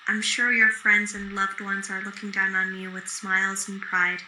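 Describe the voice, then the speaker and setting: A gracious young widowed mother played by a woman in an old-time radio drama. Her voice is strikingly bright and sincere, recorded in an echoey room with a little noise behind it. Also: medium pitch, little pitch movement, moderate pace. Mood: sympathetic.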